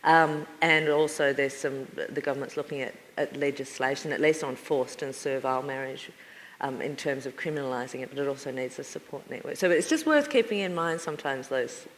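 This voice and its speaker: A woman on a panel, speaking thoughtfully with frequent pauses and fillers. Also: very deep voice, slight background noise. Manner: thoughtful, hesitant with many fillers. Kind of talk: panel discussion. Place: university lecture hall. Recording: reverberant lecture hall.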